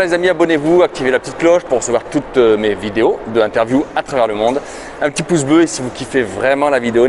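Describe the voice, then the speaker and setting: An energetic male host chats to his viewers and to his guests while out in a busy city. His voice sits a bit high, and loud traffic and metro noise run under everything he says. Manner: energetic, chatty, addresses viewers as friends. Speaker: travel vlogger host interviewing guests. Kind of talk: upbeat YouTube intro and casual interview. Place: outdoors in a city, partly on the metro. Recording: noisy city and transit background.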